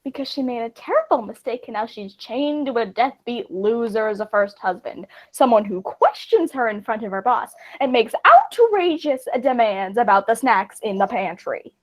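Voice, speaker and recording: An argumentative, self-assured actress in a stage comedy acted by a woman. Her voice is very high, and she delivers her scorn and indignation with emphatic theatrical force. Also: lively intonation, moderate pace, hardly any pauses. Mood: scornful.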